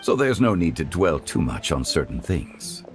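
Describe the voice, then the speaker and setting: Serene immortal gentleman for a fantasy game: low, formal, measured scholarly speech over music. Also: sing-song. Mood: reflective. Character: dignified, serene ancient god living as mortal consultant. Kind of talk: anime game voice acting.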